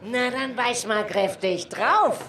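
A woman's voice: a little high, expressive. A woman playing a boisterous, taunting pirate master of ceremonies in a children's radio play, theatrical and teasing. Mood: teasing, delighted. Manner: theatrical, teasing, drawn-out announcing. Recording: echoey, distant, amid a noisy crowd.

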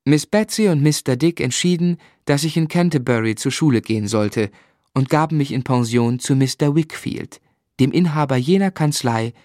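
A male narrator of a radio drama, played by an actor, looking back on his boyhood. His delivery is measured and literary, at a medium pitch, recorded very dry and close. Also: a little mellow, no room sound, lightly acted. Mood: reflective, measured. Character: adult man reflectively recounting his boyhood.

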